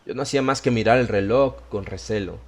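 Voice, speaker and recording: A man's voice: fairly low pitch, fast. A man reading scripted first-person horror narration as an audiobook narrator.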